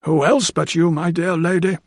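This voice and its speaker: Bluff, kindly old doctor, played by a man: hearty, slightly raised pitch. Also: steady tone, normal speed, dry recording, clean studio sound.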